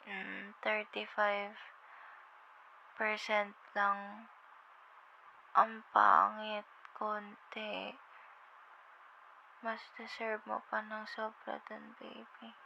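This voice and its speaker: A woman playing a sleepy, affectionate girlfriend in an intimate ASMR roleplay, with a Filipino accent and a drowsy, halting, tender murmur. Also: mid-range voice, monotone. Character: sleepy, affectionate young girlfriend on a late-night call. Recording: muffled, with clear background noise.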